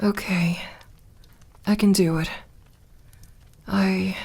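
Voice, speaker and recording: A woman's voice: medium pitch, a little mellow, breathy edge. A woman playing a lonely, lovestruck vampire girl in an intimate ASMR audio roleplay, tender and hesitant. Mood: nervous, gentle. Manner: hesitant, halting, intimate, sometimes dropping to a whisper.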